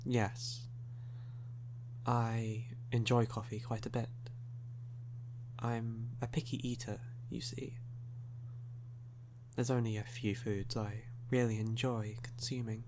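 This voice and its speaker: A man playing a suave, sly, hungry male vampire in an ASMR audio roleplay, speaking in a teasing, drawn-out manner. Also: mid-range voice, level tone, noisy background, lightly acted. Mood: polite, sly. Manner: slow, drawn-out, teasing, with breathy chuckles.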